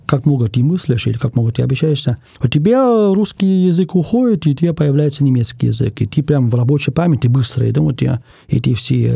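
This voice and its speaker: A man from Germany, a guest in an interview, speaking thoughtfully in casual talk and pausing often to find his words. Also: on the high side, gravelly, mellow, husky, dry recording, clean studio sound.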